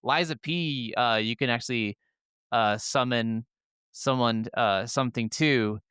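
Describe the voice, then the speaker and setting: A man with a smooth, clear, mid-pitched voice talks casually as a gaming podcast co-host. He is enthusiastic and rambling, with loose swearing, and the sound is very dry. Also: unhurried, a bit bright, no echo. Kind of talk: casual, opinionated gaming chat.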